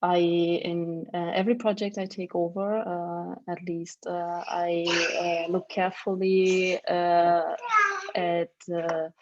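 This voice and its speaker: A woman's voice: lowish voice, flat delivery, takes it slowly, darkish tone, highs cut off. A woman speaking on an online panel, hesitant and full of fillers. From Germany. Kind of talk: panel discussion.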